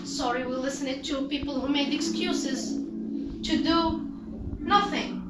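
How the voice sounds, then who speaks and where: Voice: high voice, steady tone, slow pace. A teenager performs a rehearsed spoken-word monologue in an earnest, declamatory manner. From Morocco. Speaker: student performer. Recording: echoey, distant mic, loud music behind. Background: loud backing music.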